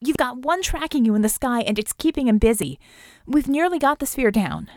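A woman voicing an urgent, practical female detective, brisk and matter-of-fact under pressure, in an audiobook adventure. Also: high voice, big pitch swings, dry recording, studio quality, voice pushed up.

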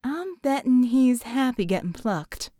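A woman voicing a quick-witted barmaid with a drawl, dry and flirty. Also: on the high side, big pitch swings, dark tone, no room sound. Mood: dry amusement.

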